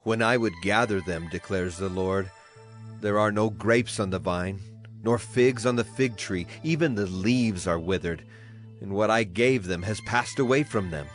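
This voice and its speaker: A man's voice: fairly low pitch, a little slow, close to an everyday voice. A man acting a solemn prophet who speaks for a grieving, wrathful God in a dramatized scripture reading, declamatory in delivery. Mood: grave. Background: music behind the voice.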